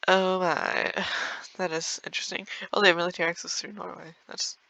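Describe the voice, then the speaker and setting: A man's voice: high-pitched, little pitch movement, normal speed, gravelly, sharp tone, dry recording, rough recording. A young man giving rambling, casual commentary over a strategy game, full of fillers and small laughs. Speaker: gameplay video creator.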